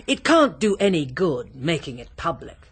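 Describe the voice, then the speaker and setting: A woman's voice: sits low, sing-song, normal speed, breathy edge, heavily acted. An actress playing a tactless, guileless woman in a radio drama, with an artless, questioning, blurting manner.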